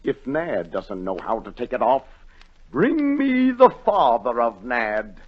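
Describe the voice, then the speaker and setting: A blustering, imperious king from a 1940s radio play. He draws out his commands with huge swoops of pitch, exclaiming and repeating himself. The vintage recording is dull and narrow. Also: wildly swooping pitch, slow pace, slightly rough, heavily acted. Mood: commanding. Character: blustering, easily outraged king.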